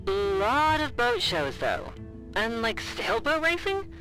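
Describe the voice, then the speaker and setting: An actress playing a chatty, nervy young woman in an audio drama, with a casual, halting delivery. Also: fairly high pitch, very animated, pushed and bright, soft background music, exaggerated voice, rough recording. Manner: casual, halting, filler-heavy. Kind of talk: naturalistic audio drama acting.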